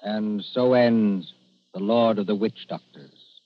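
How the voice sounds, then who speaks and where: Low, very slow radio narrator, a man. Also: no echo. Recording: muffled old radio, music behind.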